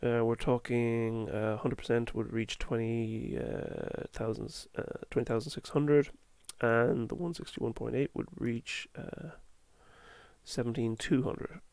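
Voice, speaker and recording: Male market analyst, gravelly and losing his voice, halting delivery, dry close sound. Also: medium pitch, steady tone, a little mellow, no room sound. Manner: halting, fillers, self-correcting. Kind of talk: chart walkthrough.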